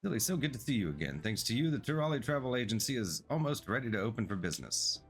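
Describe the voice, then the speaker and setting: A man reading as an eager, fast-talking travel entrepreneur. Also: medium pitch, big pitch swings. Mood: welcoming, excited. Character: eager, earnest travel agency entrepreneur from a fantasy game. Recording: music behind the voice.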